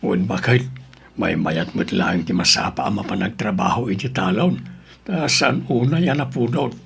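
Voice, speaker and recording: A man acting a weary, stubborn old farmer and father with a gravelly, raspy voice in a radio drama. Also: fairly low pitch, normal speed, fairly bright tone, slight background noise.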